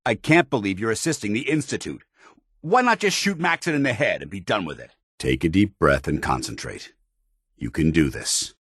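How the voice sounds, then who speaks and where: An actor playing a stern, honor-bound soldier in a video game, speaking in a formal, commanding and earnest military way. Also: male voice, low voice, fairly bright tone, slightly rough, dry recording, clean studio sound, heavily acted. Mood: indignant, stern, then firm, steadying. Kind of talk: video game companion dialogue.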